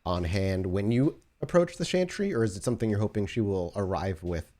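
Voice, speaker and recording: A man's voice: on the low side, expressive, fairly quick, dark tone. A man narrating a tabletop roleplaying game as storyteller, conversationally setting scenes and posing choices to the players.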